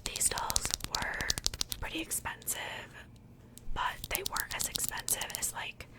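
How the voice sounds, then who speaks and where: A woman speaking in a soft, breathy whisper, chatting casually and unhurriedly in the style of an ASMR unboxing. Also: a little slow. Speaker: ASMR video creator.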